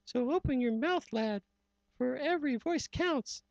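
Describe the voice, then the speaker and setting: A man's voice: extremely high pitch, animated, a little slow, creaky, no room sound, pitched above the performer's own voice, full character voice. A man playing a small, frantic town mayor who is urgently rallying his people. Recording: dull lo-fi dry recording.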